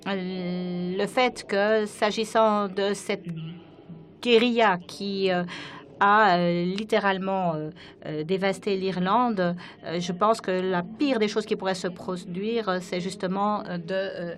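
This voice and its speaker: A woman delivers live interpretation of delegates at a parliamentary conference from an interpreting booth. She keeps pace with each speaker and often pauses with hesitant fillers. Her pitch sits in a mid range. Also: fairly even intonation. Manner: frequent hesitant fillers while keeping up with speakers. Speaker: simultaneous interpreter. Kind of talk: simultaneous interpretation of parliamentary speeches.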